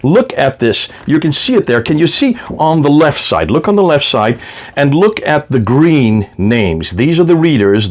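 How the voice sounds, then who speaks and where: A man with a mid-range voice delivering a heated rebuttal to camera. He talks emphatically and combatively, often restarting his sentences as he presses each point. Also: mid-range voice. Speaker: apologetics video host. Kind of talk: argumentative commentary to camera.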